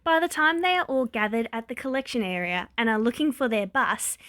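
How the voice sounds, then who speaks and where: Woman, story narrator, slightly high pitch, expressive wry delivery, dry close recording. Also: a little fast, no room sound. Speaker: podfic narrator reading fan fiction.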